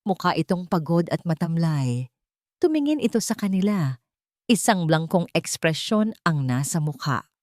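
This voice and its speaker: A woman's voice: lowish voice, big pitch swings, moderate pace, a little mellow, no echo, studio quality. A woman narrating a scripted story in a dramatic storytelling style.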